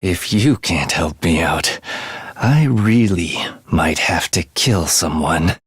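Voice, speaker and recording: Eerie, bloodthirsty young student, dry recording. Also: male voice, low-pitched, lively intonation, slow pace, slightly rough, no echo, heavily acted. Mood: grim, calm. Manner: sinister, theatrical, savoring morbid details. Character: eerie, bloodthirsty young male academy student, morbidly gleeful. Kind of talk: video game character voice acting.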